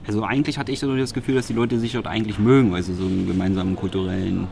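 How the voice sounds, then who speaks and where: A man's voice: on the low side, fairly even intonation, racing, gravelly, darkish tone, a little hiss or hum. A man from Berlin co-hosting a podcast, recapping press conferences in a wry, sarcastic, rambling way. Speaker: podcast co-host.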